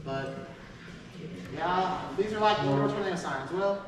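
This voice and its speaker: A woman giving an informal class talk, partly reading from notes, speaking haltingly and repeating her phrases. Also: medium pitch. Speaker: presenter teaching a class. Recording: echoey hall, distant mic, noisy background.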